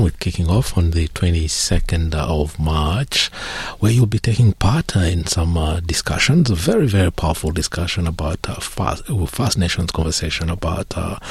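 A man's voice: low voice, slightly rough, dry recording. A man hosting a radio interview, speaking hesitantly with frequent fillers. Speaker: radio host.

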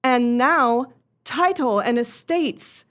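A woman playing an earnest, genteel young lady in a radio play, telling her story in formal, measured phrases. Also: on the high side, a bit bright. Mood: earnest, grave.

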